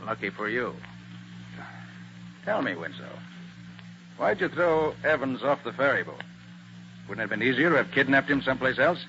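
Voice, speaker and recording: An actor playing a confident, tough private detective in a 1940s radio drama, with an assured, commanding manner. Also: male voice, mid-range voice, very animated, normal speed, long pauses, pushed and bright. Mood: probing. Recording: muffled, hissy old radio recording.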